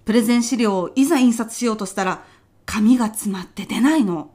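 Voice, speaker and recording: Casting: actress as high-voiced female office senior, petty worrier, blunt and pushy, for comedic audio sketch. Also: fairly quick, mellow, natural voice. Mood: brisk, confiding. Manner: blunt, pushy, rough-mouthed when challenged. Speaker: voice actor in a comedy radio drama. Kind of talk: short comedic radio drama.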